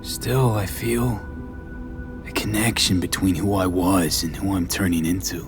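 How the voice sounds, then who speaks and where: A man playing a weary, blunt mercenary in a video game, with a gravelly voice and terse, low-key delivery. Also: fairly even intonation, measured pace, over music. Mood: pensive. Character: weary, blunt male mercenary in a futuristic city.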